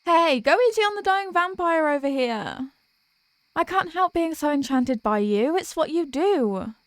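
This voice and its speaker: Woman voicing a theatrical high-voiced vampire girlfriend. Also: animated, measured pace, dry recording. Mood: playful, smitten. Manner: theatrical, pleading, mock-tragic. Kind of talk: scripted roleplay audio monologue.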